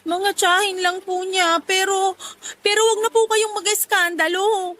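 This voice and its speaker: A woman's voice: very high-pitched, flat delivery, a little slow, a bit bright. A woman playing a distressed, resentful young pregnant daughter in a radio drama, arguing and pleading. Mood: defensive, pleading. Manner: pleading, argumentative. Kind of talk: Tagalog radio drama acting.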